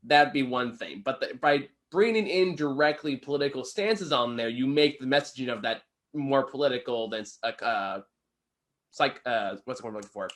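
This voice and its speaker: A man's voice: mid-range voice, expressive, normal speed, a bit bright. A man casually discussing a film with a co-host as a reviewer, opinionated and swearing freely.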